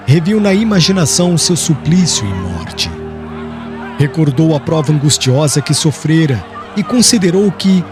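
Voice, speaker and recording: Audiobook narrator, male, Brazilian accent: solemn and theatrical, higher-pitched with lively swings, clear music underneath. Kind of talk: dramatic scripted literary narration. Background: background music.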